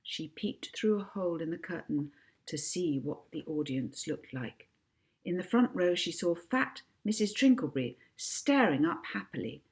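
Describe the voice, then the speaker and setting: A woman reading a children's book aloud as an expressive narrator. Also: mid-range voice. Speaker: author reading own book.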